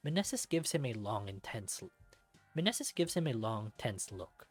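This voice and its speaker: A man's voice: on the low side, big pitch swings, moderate pace, a little mellow. A man reading first-person narration from a visual novel as a scripted read. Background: music.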